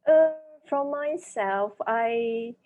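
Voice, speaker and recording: A woman with a Malaysian accent, a native Chinese speaker, answering interview questions as an author in a thoughtful, measured way, searching for words. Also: on the high side, narrow, tinny audio. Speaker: author and dentist guest.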